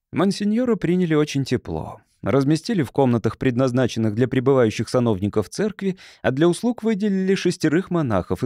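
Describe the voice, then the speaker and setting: A man reads literary fiction as an audiobook narrator. His pitch is medium and the recording is dry, with very little room sound. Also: mellow, no echo.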